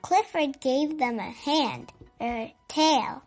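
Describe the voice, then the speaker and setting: A very young-sounding or high-voiced reader telling a children's story in a simple, expressive read-aloud style. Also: slow pace, faint music behind.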